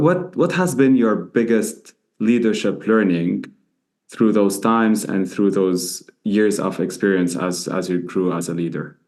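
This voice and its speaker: A man hosting a healthcare leadership podcast, speaking in a measured way with frequent fillers. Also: lowish voice, a little mellow. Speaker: podcast host. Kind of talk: podcast interview.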